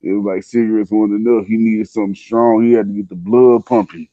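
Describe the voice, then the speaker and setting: Low-voiced man, slangy storyteller. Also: steady tone, fast talker, no room sound, phone-quality sound. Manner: laid-back, conversational, acts out quoted dialogue. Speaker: podcast guest telling a personal story.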